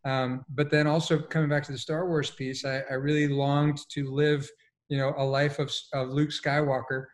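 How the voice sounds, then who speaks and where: Even-toned, thoughtful man in his forties. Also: on the high side, normal speed. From Colombia, moved to the United States as a child. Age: 40s. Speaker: panelist. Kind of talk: personal storytelling on a panel. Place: living room at home.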